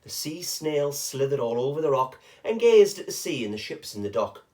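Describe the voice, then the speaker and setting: A man's voice: medium pitch, very animated, normal speed, warm tone. A man reading a rhyming children's picture book aloud expressively, with short chatty asides to young listeners.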